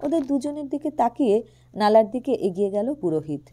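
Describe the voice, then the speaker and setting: Mellow-voiced woman narrating a story. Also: a little high, very animated, brisk. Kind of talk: expressive literary audio story narration.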